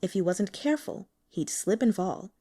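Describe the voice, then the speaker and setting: Mellow-voiced woman reading a novel. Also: medium pitch, lively intonation, normal speed, slightly rough. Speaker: audiobook reader. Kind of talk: scripted narration of a novel.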